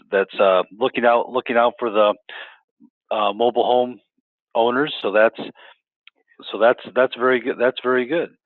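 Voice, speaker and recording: A man's voice: medium pitch, no room sound. A man interviewing a guest, stumbling and repeating himself often as he talks. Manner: stumbling, many restarts and repeats. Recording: tinny dry phone-like line.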